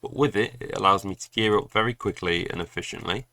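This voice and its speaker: A man's voice: low-pitched, fast talker, vocal fry, pushed and bright. A young man with a cold, chatty and explaining game plugins in a tutorial voice-over with dry asides. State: has a cold. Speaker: gaming guide creator.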